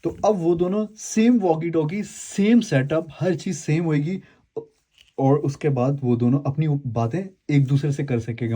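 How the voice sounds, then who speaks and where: A man's voice: a little high, dark tone. A man hosting a casual unboxing and review video, explaining to viewers in a rambling way and often repeating and correcting himself. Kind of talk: casual explanatory product demo, speaking to viewers.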